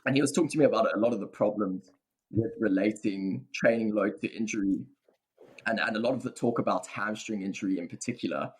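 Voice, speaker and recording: A man's voice: fairly low pitch, fairly quick, breathy edge, poor audio. A young man with a South African accent, a researcher answering podcast interview questions in a thoughtful, hedging way. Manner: thoughtful, hedging with many fillers. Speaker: guest researcher.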